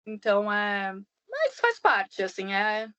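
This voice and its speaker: A Brazilian Portuguese-speaking woman chats casually in an animated, storytelling way. Her voice sits at a middle pitch. The audio is a little dull and lo-fi, like a call. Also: slow, clean tone. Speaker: podcast guest living abroad.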